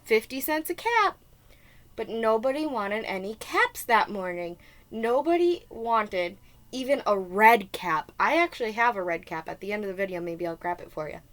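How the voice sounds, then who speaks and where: A woman preschool teacher reading a children's storybook aloud with asides, expressive and deliberate, stressing key words. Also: mid-range voice.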